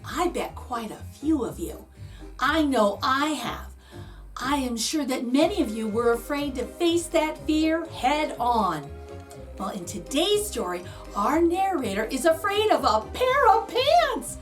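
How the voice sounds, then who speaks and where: A woman's voice: high voice, recorded from a distance, soft background music. A woman hosting a children's read-aloud, speaking expressively with dramatic emphasis as she presents a picture book. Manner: expressive, dramatic emphasis with drawn-out words and gasps.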